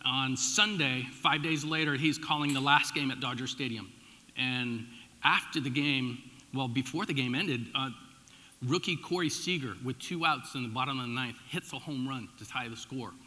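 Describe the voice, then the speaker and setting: Middle aged american guy pastor, really bright forceful voice, chatty storytelling sermon, big echoey hall. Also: mid-range voice, normal speed, a little hiss or hum. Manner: informal, animated storytelling with emphatic swings and dropped asides. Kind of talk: conversational sermon with personal storytelling. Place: large church room, addressing a congregation. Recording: echoey hall with long reverb.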